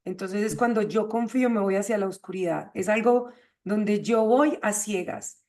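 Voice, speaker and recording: A woman's voice: mid-range voice, moderate pace, darkish tone. A woman hosting an explanatory conversation, teaching point by point and checking with short questions. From Colombia. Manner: didactic, checks with rhetorical questions. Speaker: host of an astrology show.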